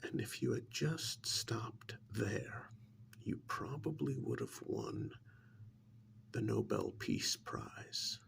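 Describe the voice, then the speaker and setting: A very slow, heavily creaky male voice slips in and out of a whisper, a man acting out a sly, menacing interrogator in an ASMR role-play. He leaves long, insinuating pauses and needles his listener with mock admiration. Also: medium pitch. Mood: mock-admiring, sardonic. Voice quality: breathy whisper mixed with gravelly creak.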